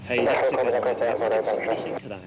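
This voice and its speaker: A man giving live, unscripted commentary on flying displays, appreciative and measured in his phrasing. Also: medium pitch, flat delivery, very noisy. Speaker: airshow commentator.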